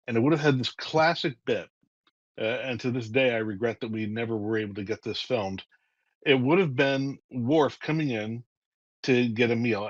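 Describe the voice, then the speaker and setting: Man, writer guest, animated storyteller, dry room, muffled lo-fi audio. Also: mid-range voice, no echo. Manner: lively, acts out scenes with voices. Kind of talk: animated storytelling in a casual interview.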